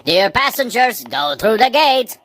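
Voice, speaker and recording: A woman's voice: fairly high pitch, very animated, a little slow, a bit bright. A woman reading scripted narration for a children's cartoon game. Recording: noisy track with hiss.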